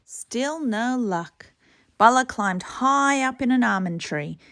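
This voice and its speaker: A woman's voice: a little high, expressive, takes it slowly, fairly bright tone. A woman reading a children's storybook expressively. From Torres Strait, Australia. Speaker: storybook reader.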